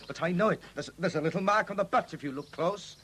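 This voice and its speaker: An actor playing a hot-tempered, stubborn farmer and nationalist militant in a 1970s radio drama. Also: male voice, sits high, fast talker, fairly bright tone. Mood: stubborn protest. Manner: blustering, stubborn, emphatic. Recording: hissy 1970s radio broadcast recording.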